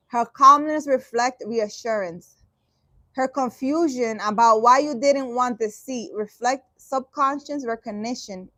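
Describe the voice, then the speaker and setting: Sarcastic, exasperated woman with a high voice. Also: sharp tone, no room sound. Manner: halting, restarting sentences. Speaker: spiritual vlogger. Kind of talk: reading a dream interpretation aloud and commenting.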